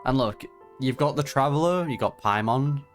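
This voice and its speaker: A man's voice: mid-range voice, lively intonation, moderate pace, soft background music. A man giving casual reaction commentary on a video game, conversational and sympathetic in manner. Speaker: gaming reaction streamer.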